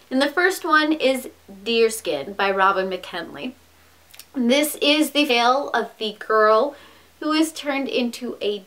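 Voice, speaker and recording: A woman's voice: sits high, pushed and bright. A young woman talking casually to camera, recommending books she loves with chatty enthusiasm and the odd restart. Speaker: book vlogger. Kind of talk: casual book recommendation vlog.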